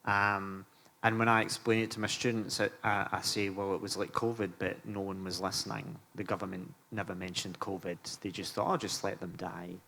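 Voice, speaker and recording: A man's voice: low voice, flat delivery, a little fast, gravelly, bright, forceful tone. A middle-aged man recalling his experiences in a conversational public talk. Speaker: panel speaker, film lecturer. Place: live event room at a museum. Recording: live room with faint background noise.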